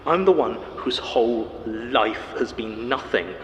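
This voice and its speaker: An anguished man burdened by guilt over a doomed world. His audio drama delivery is halting, intense and brooding. The sound carries a long reverb and some faint background noise. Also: medium pitch, expressive, a bit bright, lo-fi sound.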